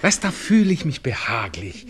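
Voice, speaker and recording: A man's voice: mid-range voice, wildly swooping pitch, normal speed, a bit bright. An actor playing a carefree, teasing young Viennese man in a radio play, with a breezy, mock-pompous manner and a Viennese accent. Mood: breezy, musing. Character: Theodor, jovial carefree young Viennese bon vivant, teasing cynic. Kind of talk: 1960s German radio play acting.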